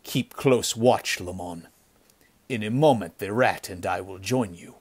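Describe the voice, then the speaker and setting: A man's voice: lowish voice, a little slow, breathy edge. A man voicing a ruthless, commanding bandit chief who snarls impatiently in an adventure story. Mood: grim, scheming. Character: ruthless, cursing bandit chief nicknamed the wolf. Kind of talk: dramatic storybook villain acting.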